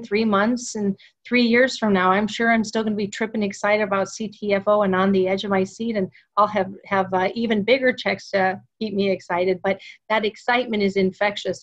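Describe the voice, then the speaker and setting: A woman hosting a sales interview over a video call from her home office, speaking with animated enthusiasm. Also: mid-range voice, dull audio. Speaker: webinar host. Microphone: webcam video call.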